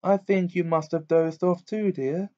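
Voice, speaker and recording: A man's voice: fairly even intonation, a little slow, darkish tone. A man putting on a fluttery eccentric old fortune-teller woman. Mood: fussing, dreamy. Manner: breathy, fussing, high and fluttering. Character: dreamy eccentric older female divination teacher. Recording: dull lo-fi recording.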